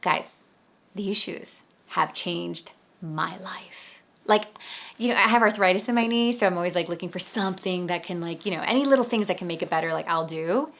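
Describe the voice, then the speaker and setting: A woman hosting her own podcast, chatting casually about spirituality. She rambles along with lots of "like" fillers. Her voice sits a little low for a woman. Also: moderate pace, fairly bright tone. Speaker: podcast host.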